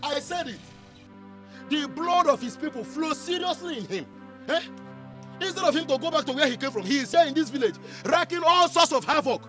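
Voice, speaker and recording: An excitable, loyal Nigerian younger brother in a film drama. His voice is strikingly high and animated, insistent and repetitive, with clear music underneath. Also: normal speed, long pauses. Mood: indignant. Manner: insistent, repeats phrases with emphatic tags.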